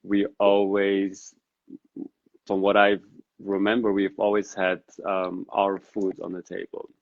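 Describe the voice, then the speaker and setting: Flat-voiced man, thinking aloud. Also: low-pitched, takes it slowly, creaky. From Netherlands. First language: Dari. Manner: reflective and earnest, thinking aloud. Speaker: podcast guest. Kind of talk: casual interview conversation. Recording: dull lo-fi sound.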